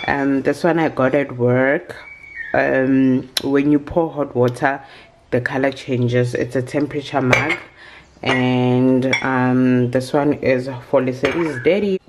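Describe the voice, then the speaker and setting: A woman in her thirties talks slowly and casually through a home vlog, her voice low and easy. She fills pauses with ums as she goes. Faint room noise and clinking dishes sit behind her. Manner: chatty, relaxed, frequent ums. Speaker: vlogger. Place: home kitchen, indoors.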